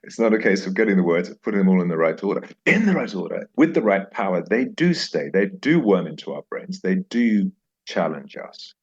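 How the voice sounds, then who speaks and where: A man's voice: sits low, very animated, fairly quick, darkish tone, slightly rough, highs cut off. A man speaking reflectively as an interview guest, emphatic and repeating phrases for effect. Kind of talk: reflective interview conversation with recited verse.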